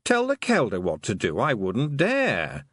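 Brief: man playing a sardonic talking toad, slow drawl, husky tone, dry sound. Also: lowish voice, very animated, a bit bright, no room sound, exaggerated voice. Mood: deadpan.

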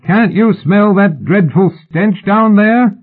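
A man's voice: mid-range voice, very animated, a little slow, slightly rough, narrow, tinny audio, pitched above the performer's own voice. A man voicing a dainty, pompous anarchist gang leader in an audiobook, with an elaborate, cold, sneering manner. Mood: pompous, mocking. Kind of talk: audiobook character acting.

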